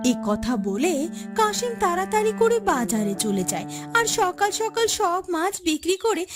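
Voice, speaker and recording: A woman's voice: very high voice, sing-song, normal speed. A woman narrates a moral-story cartoon. Her delivery is scripted storytelling, and music sits prominently behind the voice. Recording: loud music bed behind the voice.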